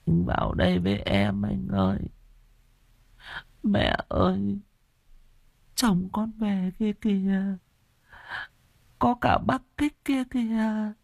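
A man voicing a delirious young pregnant widow, wailing and pleading in a shrill, sobbing voice. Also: vocal fry, darkish tone, no room sound, heavily acted.

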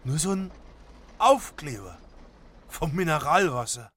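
Irritable cabbie, crude and barking, forceful higher voice, slow delivery, car noise behind. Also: male voice, very animated. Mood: furious. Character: gruff, angry male taxi driver, crude and mistrustful. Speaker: actor. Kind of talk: radio play acting. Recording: traffic and engine noise. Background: car and street noise.

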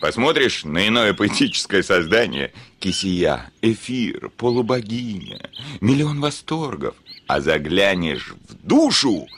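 Blustering landowner, pitch swinging wildly. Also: male voice, sing-song, unhurried, a little hiss or hum, heavily acted. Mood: ranting, scornful. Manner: theatrical, ranting, swinging from mutters to shouts. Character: blustering, hot-tempered landowner, scornful of women. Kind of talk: classic Russian radio play acting. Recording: studio radio play with music behind.

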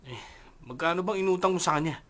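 Young filipino pal in a radio drama, slangy, high sharp voice, nagging. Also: male voice, quick pace. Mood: reproachful. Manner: slangy, reproachful. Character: worried, nagging young male barkada friend.

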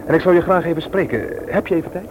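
An actor playing a stern, indignant brother-in-law in a Dutch radio play, emphatic and threatening when crossed. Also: male voice, a little high, rapid-fire, lightly acted. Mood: friendly. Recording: very noisy old radio play recording.

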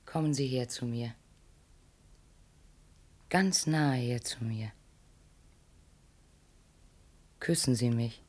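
A cool, commanding woman in a radio play, low voice, calm insistence. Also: slow, full character voice. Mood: seductive. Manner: calm, insistent, sometimes whispering. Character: cold, commanding woman urging a murder.

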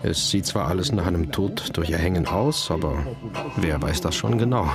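A man's voice: sits low, animated, normal speed. A man playing a dry, methodical, matter-of-fact forensic examiner in a radio drama, with music behind his voice. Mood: dry, probing.

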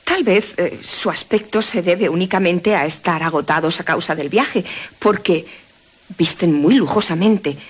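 An actress with a Castilian accent playing a kindly, curious governess in a radio drama, genteel and a little hesitant. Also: female voice, on the low side, husky. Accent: Castilian Spanish.

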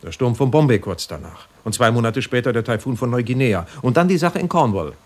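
An actor playing a loyal, concerned husband and best friend in a radio drama, speaking in a calming way. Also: male voice, medium pitch, fairly quick, far from the mic, natural voice. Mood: earnest, defensive. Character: loyal, reassuring married man, best friend of a troubled caller. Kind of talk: radio play acting.

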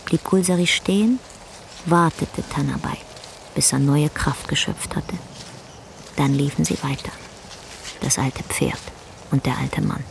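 An actress narrating a literary radio play in a measured, solemn way. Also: female voice, low-pitched, gravelly, slight background noise. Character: solemn storyteller of an old man and horse.

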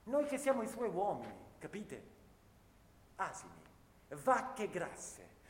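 An angry First World War infantryman with a high-pitched voice, in a radio play. He rants with bitter sarcasm and indignant rhetorical questions. The sound rings with room echo over faint noise. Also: huge pitch swings, slow, full character voice. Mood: scornful ranting. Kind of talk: theatrical radio play monologue. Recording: roomy, reverberant hall with faint noise.

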